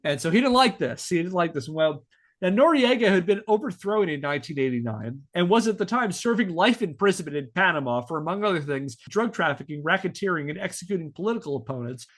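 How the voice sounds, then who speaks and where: Guy podcast host telling a researched story to his friends, voice a bit on the high side, measured delivery. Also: animated, fairly bright tone. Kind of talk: storytelling to friends, part scripted narration.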